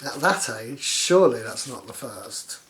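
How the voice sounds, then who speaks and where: A man's voice: mid-range voice, expressive, unhurried, a bit bright, roomy sound, slight background noise. A man reading a children's story aloud, dropping in sarcastic, mocking asides as he goes. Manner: sarcastic, mock-commentating on the story. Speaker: storybook reader.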